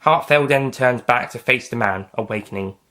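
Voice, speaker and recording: A man's voice: mid-range voice, normal speed, pushed and bright. A man reading scripted science fiction story narration as its narrator. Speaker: author and narrator.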